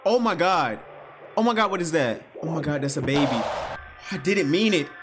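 Excitable young man, lively higher-pitched voice. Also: normal speed, over music. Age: 20s. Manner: excitable, exclaiming, slangy. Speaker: reaction video creator. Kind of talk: casual reaction commentary. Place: small room at home.

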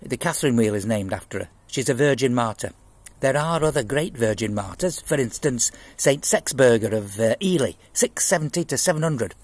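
A man voicing an earnest, pious schoolboy who solemnly recites facts in a storybook reading, with a husky voice. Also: mid-range voice, expressive, moderate pace, no echo. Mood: proudly informative.

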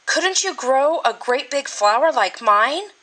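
A woman's voice: high voice, expressive, measured pace, sharp tone, voice pushed up, exaggerated voice. A woman voicing a clever, boastful young boy who teases his friend in a children's storybook. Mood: teasing.

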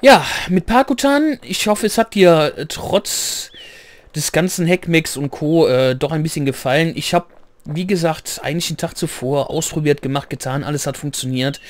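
A man talks loosely over his gameplay in a voice roughened by hoarseness, pitched a little high. He rambles from one thought to the next in a casual, chatty way. Now and then he stops to clear his throat. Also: moderate pace. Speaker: let's play gamer.

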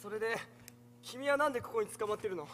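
An actor playing a hapless, flustered young defense lawyer on stage, whiny and exasperated. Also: male voice, sits high, fast, a bit bright, slight background noise. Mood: flustered, pleading. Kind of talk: theatrical stage acting. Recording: reverberant theatre recording, faint music.

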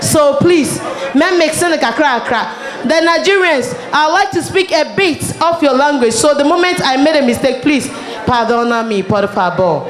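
A woman's voice: high voice, expressive, moderate pace, a faint crowd. A woman with a Ghanaian accent, a native Twi speaker, hosting a party as master of ceremonies and mixing languages in an emphatic, exclamatory way. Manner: exclamatory, emphatic, repeats phrases. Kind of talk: live event hosting, mixing local language and English. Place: party venue, amplified to guests. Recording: echoey PA at a crowded event.

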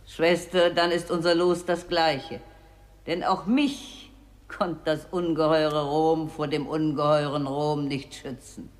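A woman's voice: deep voice, flat delivery, slow pace. A performer playing a courtesan's shade, a grave female juror in a poetic radio play. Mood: sympathetic.

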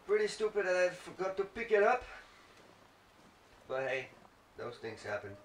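A man's voice: high-pitched, big pitch swings, moderate pace. A man giving casual, rambling gameplay commentary, thinking aloud as he plays. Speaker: let's play gamer. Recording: roomy, distant mic, faint noise.

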